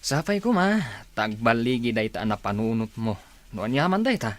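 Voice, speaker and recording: Doubtful, laid-back male friend played by a man for radio drama, average pitch, respectful manner. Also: very animated, moderate pace, close to an everyday voice. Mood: questioning. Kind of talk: Ilocano radio drama acting.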